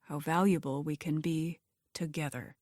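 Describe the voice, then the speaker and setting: A woman's voice: sits low, fairly even intonation, normal speed, a little mellow, dry recording, studio quality, close to an everyday voice. A woman voicing a cool, calculating young man in an audiobook, controlled and insinuating in manner. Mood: cool, probing.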